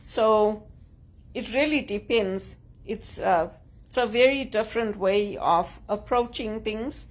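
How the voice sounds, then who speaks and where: A woman from Zimbabwe with a Zimbabwean accent, talking to camera in an earnest, measured way about job hunting. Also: medium pitch. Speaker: job seeker sharing tips. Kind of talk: casual talk to camera.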